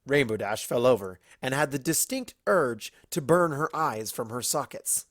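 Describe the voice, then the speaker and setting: A woman's voice: rumbling low, lively intonation, moderate pace, no echo, studio quality. A woman narrating a comic story as a reader, in a storytelling style. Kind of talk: lively narration of a comic fan fiction story.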